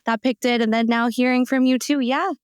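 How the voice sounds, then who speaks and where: A woman's voice: a little high, steady tone, brisk, smooth, dry recording, studio quality. A young American woman hosting a podcast interview in a casual, slangy, affirming way, asking questions conversationally. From Texas, United States.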